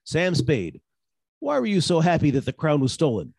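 A male actor performs a hard-boiled detective man from a 1940s-style radio play. His delivery is clipped and wry, with a slightly high, very mobile pitch. The sound is dry and close, and he keeps probing. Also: wildly swooping pitch, normal speed, creaky, darkish tone, breathy edge, dry recording, heavily acted.

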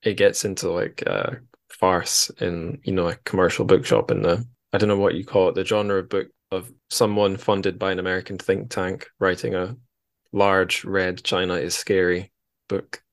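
Male host, deep-pitched, informal interview chat, tentative delivery with a dry wit. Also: normal speed. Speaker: podcast host.

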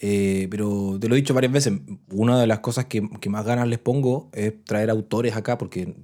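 A man's voice: fairly low pitch, fairly even intonation, normal speed, mellow. A Chilean man hosting a podcast in a chatty, casual way, often restarting his phrases as he introduces listener messages. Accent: Chilean Spanish. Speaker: podcast host.

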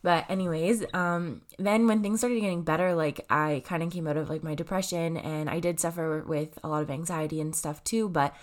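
Girl in her 20s, stuffy nose, pretty low voice, rambly with lots of likes and ums. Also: steady tone, normal speed, few pauses. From Canada. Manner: rambling, lots of "like" and "um", self-correcting. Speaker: vlogger. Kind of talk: casual personal talk to camera.

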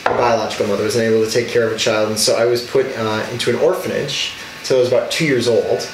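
A man's voice: mid-range voice, steady tone, fast, roomy sound, distant mic, noisy background. A young man, an alderman from Wisconsin, telling his life story earnestly in an interview. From Racine, Wisconsin. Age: young adult. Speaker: alderman guest.

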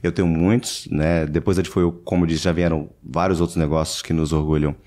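Male guest, deep low voice, easy hesitant chat, from Brazil. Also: fairly quick. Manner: relaxed, hesitant, many fillers. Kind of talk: casual interview chat.

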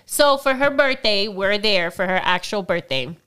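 A woman's voice: medium pitch, animated, moderate pace, sharp tone, clear, resonant tone. A woman from Miami co-hosting a podcast, chatting casually and dropping Spanish phrases into her English. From Miami, United States. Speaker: podcast co-host. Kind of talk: casual chat.

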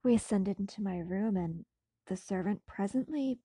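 A woman reading a classic novel as an audiobook in a breathy, husky, often whispery voice, with hushed, theatrical and deliberate phrasing. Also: medium pitch, no room sound. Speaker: audiobook narrator. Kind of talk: dramatic scripted narration of a classic novel.

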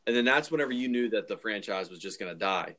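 A man's voice: fairly low pitch, expressive, quick pace, a bit bright. A man co-hosting a podcast, talking about films casually with exasperated, informal phrasing full of "like" and "dude". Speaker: podcast co-host. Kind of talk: casual opinionated film discussion.

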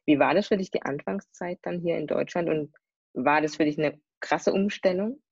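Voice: mid-range voice, normal speed, creaky, husky, no room sound. Podcast host with a dull, dry sound. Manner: hesitant questions with fillers. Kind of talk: casual interview questions.

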